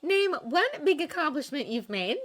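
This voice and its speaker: A woman's voice: high-pitched, sing-song, normal speed, pitched above the performer's own voice. A woman voicing a bossy, teasing young woman who coaches archery, in a fan fiction reading. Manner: teasing, instructive.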